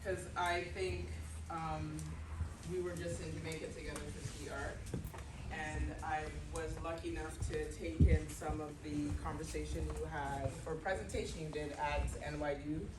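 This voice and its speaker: A woman's voice: deep voice, fairly even intonation, moderate pace. Woman with a big projecting voice, echoey room. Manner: loud, projecting voice, chatty and loose. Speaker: conversation moderator. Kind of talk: casual onstage conversation. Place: small venue with an audience. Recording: echoey room, distant mic, clear background noise.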